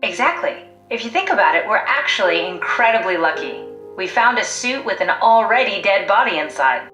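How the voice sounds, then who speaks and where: A driven scientist in a video game, her mid-pitched voice ringing with echo. She is blunt and analytical, reasoning matter-of-factly as she persuades. Faint music sits underneath. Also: lively intonation, normal speed, pushed and bright. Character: pragmatic, driven, awkwardly blunt woman scientist. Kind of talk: video game voice acting, naturalistic dialogue. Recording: echoey sound.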